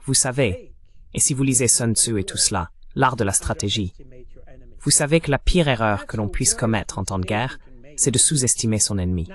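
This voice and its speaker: A man delivering a translated voice-over of a political interview as the guest analyst, in a measured, explanatory way. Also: mid-range voice.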